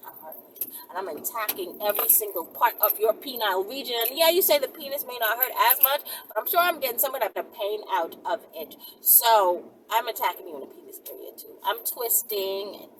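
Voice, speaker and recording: A woman's voice: fairly high pitch, fairly quick. A woman hosting a casual question-and-answer vlog, talking emphatically into her phone from inside a car, often repeating her words as she goes. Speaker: channel host answering viewer questions. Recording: distant phone mic with faint background noise.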